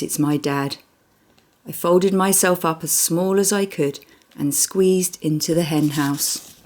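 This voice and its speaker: A woman reading a children's book aloud in an expressive storytelling manner, with dramatic pauses. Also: low voice. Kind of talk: expressive children's audiobook narration.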